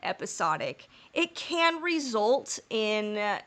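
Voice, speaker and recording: A woman co-host chatting casually on a podcast, her voice sitting at an ordinary middle pitch. She wanders through long, candid tangents and curses without a second thought. She keeps chuckling at her own jokes as she goes. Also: sing-song, slow pace, fairly bright tone. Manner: rambling and candid, swears casually.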